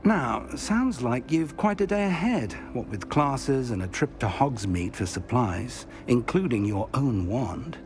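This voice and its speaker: An actor playing a courteous older British wizard professor in a video game, speaking in a formal, measured, articulate way. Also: male voice, medium pitch, lively intonation, a little mellow, natural voice. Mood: courteous, instructive. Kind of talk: video game cutscene acting.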